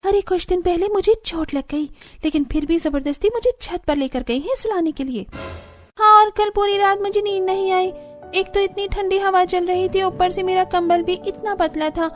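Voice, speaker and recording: A woman's voice: extremely high pitch, pitched above the performer's own voice. A woman voicing a fed-up young village daughter-in-law in a cartoon story, grumbling in a whiny, sarcastic way.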